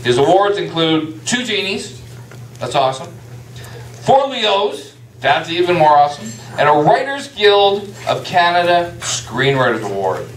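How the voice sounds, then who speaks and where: A man's voice: high-pitched, very animated, unhurried. A man hosting a live event, introducing a guest with playful, jokey hype. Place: indoor venue in a restaurant. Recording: roomy live venue, distant mic, faint noise.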